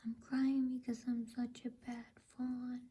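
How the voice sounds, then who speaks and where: Sorrowful storybook fawn , read by a woman: male, guilt-ridden and lamenting, breathy whisper, slightly raised pitch. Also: level tone, unhurried, warm tone. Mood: sobbing, guilty. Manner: self-reproaching, groaning, lamenting. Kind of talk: whispered bedtime storybook reading.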